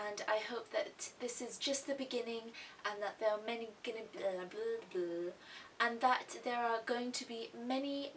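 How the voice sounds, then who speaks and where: Chatty young woman, faint hiss. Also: fairly high pitch. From Thailand. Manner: chatty, repeats herself, little asides. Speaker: YouTube art channel host. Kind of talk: casual Q&A vlog. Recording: faint hiss, mic a little distant.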